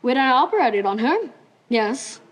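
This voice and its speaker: A woman's voice: on the high side, expressive, measured pace. A teenage female student acting on stage as a stern, proper Victorian male doctor. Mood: impatient. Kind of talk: high school stage play acting.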